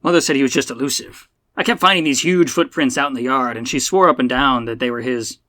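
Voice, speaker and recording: A man's voice: medium pitch, fast, sharp tone, no echo, close to an everyday voice. A man voicing a blunt, naive spacefarer in a comic space story, with a plain-spoken manner. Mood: earnest. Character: Buck, blunt, naive young spacefarer from poor family.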